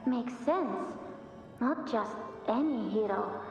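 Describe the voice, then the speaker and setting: A woman's voice: a little high, expressive, slow, a little mellow, highs cut off, soft background music. A woman voicing a spirited, youthful village dreamer in a video game, with an echoey, distant sound. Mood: wistful. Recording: echoey, distant, long reverb.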